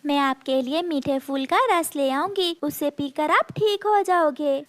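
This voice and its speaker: A woman voicing a gentle mother bird in a cartoon, soothing and patiently instructive. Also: high-pitched, unhurried, no room sound. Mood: caring. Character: gentle mother bird, caring, patient, teaching her young.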